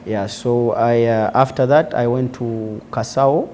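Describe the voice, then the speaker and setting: A man's voice: medium pitch, steady tone, measured pace, darkish tone, slight background noise. A man in his twenties with a Ugandan accent, telling his personal story conversationally as an interview guest. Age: 20s. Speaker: interview guest, former footballer.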